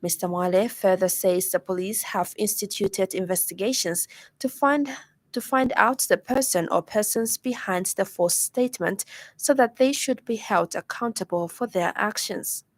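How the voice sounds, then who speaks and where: A woman's voice: mid-range voice, normal speed, no room sound. A woman with a Zambian accent reading a formal radio news bulletin, stumbling now and then over words. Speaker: news reader. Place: radio studio.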